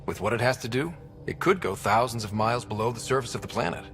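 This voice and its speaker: An actor playing a young space explorer in a video game, casual and inquisitive in manner. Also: male voice, mid-range voice, animated, fast, fairly bright tone, faint music behind, close to an everyday voice. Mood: curious. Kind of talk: scripted video game acting. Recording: long reverb, clear background ambience noise.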